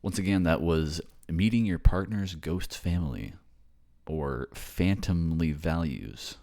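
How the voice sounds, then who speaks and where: Low-voiced man, casual outro. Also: slow, creaky, mellow. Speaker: voice actor.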